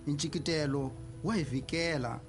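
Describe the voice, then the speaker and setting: A man's voice: fairly high pitch, unhurried, faint music behind. An actor playing a villager in a radio drama spot who explains his situation conversationally and thanks his neighbor. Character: villager grateful for his mosquito net.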